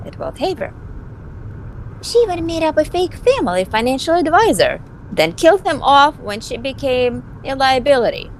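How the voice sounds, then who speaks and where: Girl voicing flippant vampire con artist chick, snarky n dramatic, pitch all over, bit of mic noise. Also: very animated, normal speed, poor audio. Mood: gossipy, admiring. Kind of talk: amateur voice acting of a visual novel.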